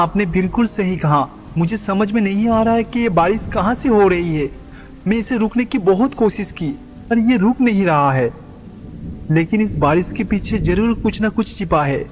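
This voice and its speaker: Man voicing an earnest, higher-pitched troubled sage. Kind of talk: animated devotional story dialogue. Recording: long reverb, music underneath.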